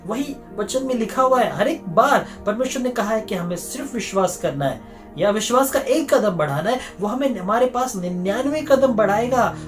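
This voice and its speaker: A male pastor giving an earnest Bible teaching sermon, repeating phrases for emphasis. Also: sits high.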